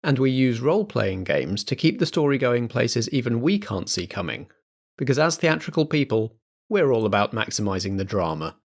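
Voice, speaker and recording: A man's voice: medium pitch, very animated, normal speed, gravelly. A man presenting a roleplaying show as its host, reading a scripted introduction. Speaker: podcast host and game master.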